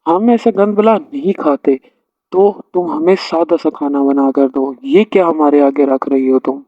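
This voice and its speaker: A man's voice: on the high side, unhurried, no room sound, lightly acted. A man voicing an arrogant, harsh older father-in-law in a family cartoon, scolding and domineering. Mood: indignant. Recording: dull lo-fi audio.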